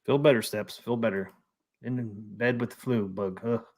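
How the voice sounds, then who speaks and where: A man's voice: medium pitch, fairly even intonation, moderate pace, a little mellow. A man hosting a casual live stream, chatting and reading out viewer comments. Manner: easygoing, reads out viewer comments.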